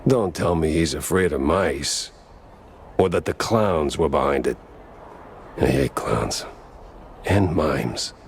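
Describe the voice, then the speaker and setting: An actor playing a hard-boiled, world-weary private detective mouse in a noir game, deadpan and sardonic. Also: male voice, rumbling low, takes it slowly, pauses often, a little hiss or hum, full character voice. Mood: dry, weary. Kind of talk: noir game narration and dialogue.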